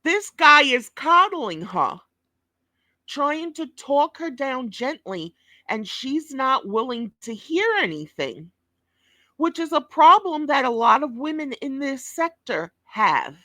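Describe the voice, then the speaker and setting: A woman's voice: high-pitched, very animated, slow, sharp tone, dry recording, studio quality. A woman giving blunt, scolding commentary on a clip, swearing heavily. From New York. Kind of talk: profane reaction commentary.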